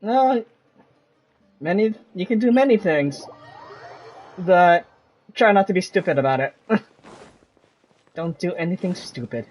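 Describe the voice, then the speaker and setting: A man's voice: sits high, expressive, unhurried, pauses often, darkish tone, faint music behind, rough recording. A man giving casual commentary as he streams a game.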